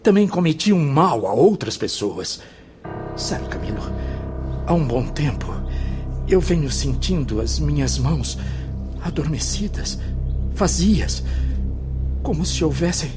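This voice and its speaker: An actor playing a guilt-ridden, anguished spirit of a murderer in a radio drama, with a Brazilian accent. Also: male voice, a little high, big pitch swings, measured pace, long pauses. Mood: anguished remorse. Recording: music under the voice.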